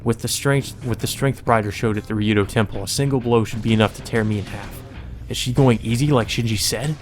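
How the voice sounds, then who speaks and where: Man narrating a visual novel, mid pitch. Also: a little fast, faint music behind. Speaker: let's play narrator.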